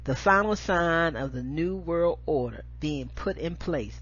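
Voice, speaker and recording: An American woman with a husky voice, hosting and reading spoken-word poetry with an emphatic, deliberate delivery. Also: low-pitched, lively intonation. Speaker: show host and poetry reader. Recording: dull lo-fi audio.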